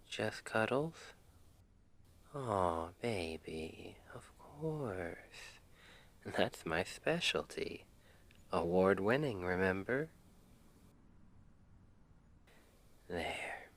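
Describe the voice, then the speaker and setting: A man playing a tender, playful boyfriend in an ASMR roleplay, speaking in a gentle, intimate half-whisper to soothe his partner to sleep. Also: low voice, animated, extremely slow, heavily acted. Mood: tender, soothing. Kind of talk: ASMR roleplay, soft bedtime storytelling.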